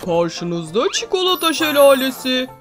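A woman's voice: high voice, very animated, measured pace, music in the background. A woman voicing a practical, thrifty lion parent in a children's cartoon, instructive and exaggerated. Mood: cheerful.

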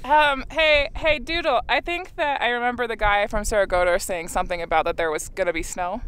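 A woman's voice: on the high side, a little fast, a bit bright, clean tone. A young woman chatting cheerfully to a camera held at arm's length on a snowy mountain trail, teasing and laughing easily. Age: young adult. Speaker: vlogger, motorcyclist. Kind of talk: casual selfie vlog chat.

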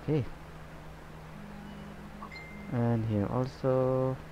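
A man giving a painting tutorial as he works, talking in a relaxed, unhurried way and often saying "okay" and "guys". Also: mid-range voice, noisy background. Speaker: painting instructor. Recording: slightly dull, lo-fi recording.

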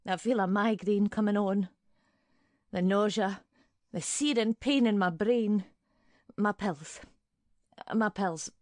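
An actress playing a suspicious, fretful older woman neighbour in an audio drama monologue, brooding in clipped, deliberate fragments. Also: mid-range voice, darkish tone, no echo, clean studio sound, close to an everyday voice. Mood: suffering, fretful.

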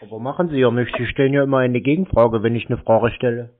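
A man's voice: medium pitch, fairly even intonation, fast. A man in a phone conversation, teasing and stubbornly questioning the other side. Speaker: prank caller baiting phone scammers. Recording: tinny band-limited phone line.

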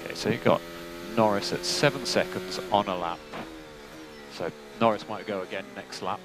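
A man working as a race engineer, giving calm, clipped technical instructions over team radio. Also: on the low side, moderate pace. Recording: noisy team radio, engine noise behind.

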